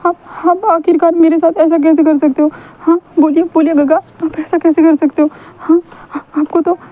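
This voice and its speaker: Young lovesick boy read by a woman narrator, high mellow voice, pleading, stuttering repeats. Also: normal speed, close to an everyday voice. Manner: pleading, stammering, repeats himself.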